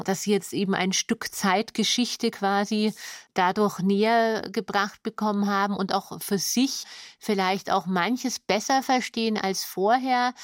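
A woman's voice: medium pitch, dry recording, studio quality. A woman who works on radio drama, giving a reflective interview statement in conversational German phrasing.

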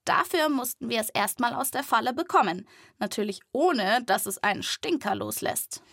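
A woman's voice: a little high, expressive, normal speed, a bit bright, no echo, clean studio sound. A woman narrating a scripted wildlife story for children, with a vivid, playful storytelling manner. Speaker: host of a children's wildlife podcast.

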